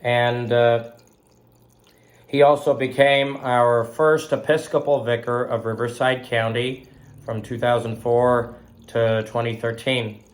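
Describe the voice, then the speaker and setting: A man in his thirties from Southern California, hosting a faith broadcast while recovering from illness. He talks slowly and haltingly, with fillers and a slight cough. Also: mid-range voice, flat delivery. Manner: slow, halting, with frequent fillers and throat clearing. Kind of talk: casual spoken tribute.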